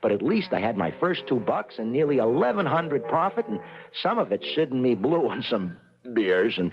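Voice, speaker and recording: An actor playing an excitable, nervous working man who gambles, in an old-time radio comedy drama with an agitated, emphatic delivery. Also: mid-range voice, lightly acted. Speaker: narrator and lead actor in radio play. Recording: noisy, muffled old radio broadcast recording.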